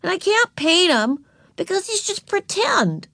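A storybook little girl of preschool age, acted out by a woman reader, pitched way up high. She is stubborn and whiny, with loud, childish demands. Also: big pitch swings, measured pace, gravelly, dry recording, studio quality, exaggerated voice. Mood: stubborn, excited.

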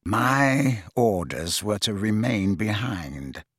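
A man voicing a cold, smooth, condescending double-agent wizard in a storybook reading, deliberate and quietly sarcastic. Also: lowish voice, sing-song, dry recording, clean studio sound, full character voice. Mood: smooth, cutting.

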